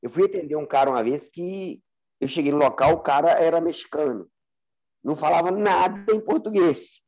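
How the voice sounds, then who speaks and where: A Brazilian man, a student in an online class, joking and flattering, telling stories animatedly over a video call. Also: fairly high pitch, moderate pace. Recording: dull lo-fi call audio.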